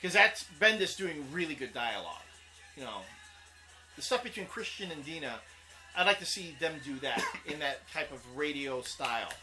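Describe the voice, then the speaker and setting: A man's voice: fairly high pitch, lively intonation, moderate pace, music in the background, poor audio. A man, co-hosting a casual comics chat show, rambling with plenty of fillers. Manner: rambling, laughs often, many fillers. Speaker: co-host.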